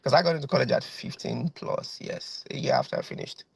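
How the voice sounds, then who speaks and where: A man's voice: mid-range voice, a little fast, gravelly, slightly rough, no echo. A man in his thirties with a Nigerian accent, a guest violinist chatting over a video call, rambling with lots of fillers and repeated phrases. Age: 30s.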